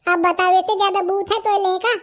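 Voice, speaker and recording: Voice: very high-pitched, little pitch movement, fairly quick, a bit bright. An actor with a Bundelkhandi accent voicing a chatty, stubborn young villager in a comedy cartoon. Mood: stubborn, bargaining.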